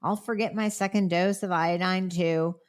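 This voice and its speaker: A woman's voice: on the low side, fairly even intonation, moderate pace. A woman doctor hosting a podcast, answering listener questions in a blunt, emphatic way with sarcastic asides, sounding nasal as she gets over a cold. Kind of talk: solo podcast Q&A.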